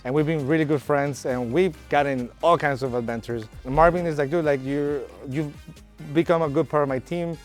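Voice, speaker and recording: Man, 30s, from Colombia, off-road shop owner, friendly and chatty, slightly high voice, faint music. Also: fairly even intonation, brisk. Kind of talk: casual interview.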